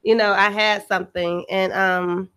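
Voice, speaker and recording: A woman's voice: medium pitch, level tone, unhurried, pushed and bright, smooth. An American woman chatting casually as an interview guest, informal and emphatic. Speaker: guest actress and rapper.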